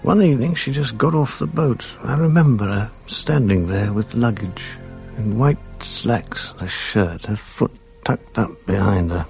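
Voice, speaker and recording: A man's voice: fairly low pitch, unhurried, vocal fry, few pauses, a little mellow, soft background music. An actor playing a world-weary, irritable, sardonic British man with a dry, literate manner in a radio drama. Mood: wry, reflective. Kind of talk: radio drama acting, intimate first-person narration. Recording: faint background noise, archive radio broadcast.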